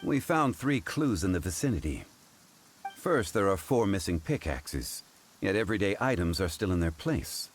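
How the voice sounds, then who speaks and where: A man voicing a calm, learned, dignified figure in a video game, speaking formally and deliberately. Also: sits low, a little hiss or hum. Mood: explaining.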